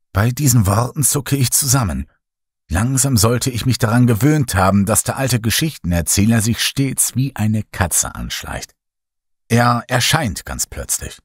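Männlicher Hörbuchsprecher für Horror, langsam und behaucht, trockener, naher Klang. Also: male voice, mid-range voice, slightly rough, dry recording. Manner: slow, hushed, dramatic reading. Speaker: audiobook narrator. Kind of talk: scripted horror narration. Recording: dry close recording.

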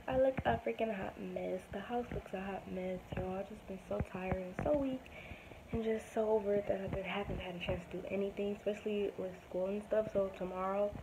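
A woman's voice: medium pitch, noisy background. Young woman w/ a sore throat, losing her voice, rambling casual vlog, lots of ums, phone held close at home. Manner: rambling, soft-spoken, many ums. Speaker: vlogger. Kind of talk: casual chat to camera. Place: small home room indoors. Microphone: phone or webcam at arm's length.

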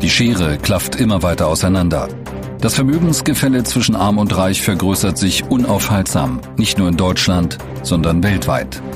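An actor playing a grave, measured documentary narrator reading a script. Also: male voice, sits low. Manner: serious, measured. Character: sober, grave male documentary-style narrator.

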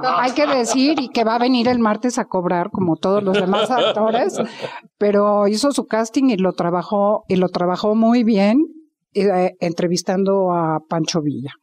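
A woman's voice: mid-range voice, moderate pace, a little mellow. A middle-aged woman with a Mexican accent, explaining conversationally in an interview on a handheld microphone. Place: indoor office lounge at a radio station. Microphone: handheld reporter microphone.

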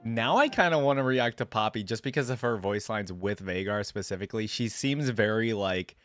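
A man's voice: fairly low pitch, normal speed, over music. A young man giving amused, informal reaction commentary into a close desk microphone indoors. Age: young adult. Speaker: reaction video host. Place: indoor streaming setup. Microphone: close desk microphone with headphones.

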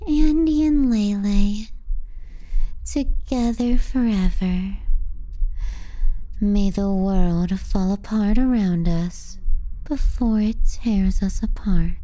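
A woman acts out a clingy, scornful younger sister in an ASMR roleplay. Her voice is very dark and soft, at a middling pitch, and she drawls extremely slowly in breathy, mocking murmurs. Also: natural voice, poor audio. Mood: needy, possessive. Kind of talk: intimate ASMR roleplay, slow and partly whispered.

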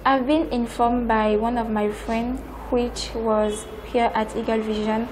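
A Beninese student at her desk in a noisy classroom, answering an interviewer in a flat, even line. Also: fairly high pitch, slow pace. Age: 20s. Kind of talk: self-introduction testimonial. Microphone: lapel.

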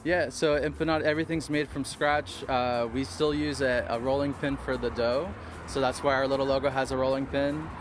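A man's voice: medium pitch, level tone, fairly quick, noisy background. A man in his thirties, a bakery co-owner, explaining things in an easygoing way with fillers in an outdoor TV interview. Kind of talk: live TV interview. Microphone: reporter's handheld mic.

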